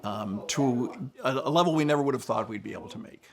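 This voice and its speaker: A man's voice: medium pitch, fast talker, gravelly, soft background music. A man in a casual interview, chatty and self-deprecating, often laughing at himself. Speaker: orchestra president.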